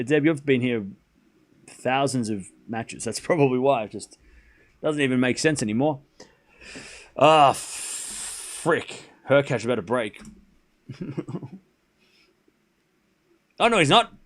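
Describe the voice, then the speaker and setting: A man with a light Australian accent calling tennis on a live stream, getting excited on rallies and muttering between points while answering chat. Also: medium pitch, a little slow, pauses often. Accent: Australian, softened. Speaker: streamer commentator. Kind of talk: live play-by-play commentary with chat.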